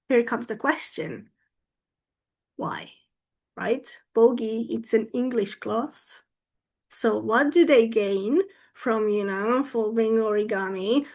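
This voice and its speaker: A woman whose first language is Hungarian gives a talk at an online teaching conference, her voice sitting a little high. She speaks slowly and deliberately, regularly pausing to check that her listeners are following. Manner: slow, deliberate, often checks in with "right?".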